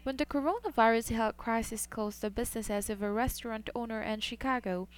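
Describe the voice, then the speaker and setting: Higher-pitched woman reading a news report. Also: smooth. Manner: measured, even news delivery. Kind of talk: scripted news narration.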